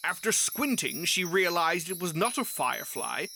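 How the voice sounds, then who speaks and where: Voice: medium pitch, very animated, moderate pace, vocal fry, sharp tone, a little hiss or hum. A narrator reading a scripted fan fiction story aloud. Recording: music behind the voice.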